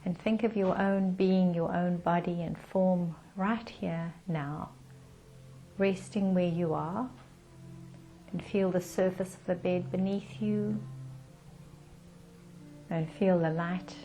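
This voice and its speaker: A woman leading a guided meditation in a calm, soothing manner with gentle pauses. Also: fairly low pitch, faint music behind, low-quality recording.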